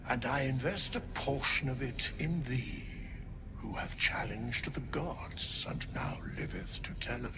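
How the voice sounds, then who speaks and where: A man's voice: medium pitch, creaky, background noise, full character voice. A man playing an ancient, solemn undead scribe in a fantasy game, with stately, portentous, archaic theatrical delivery. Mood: resolute.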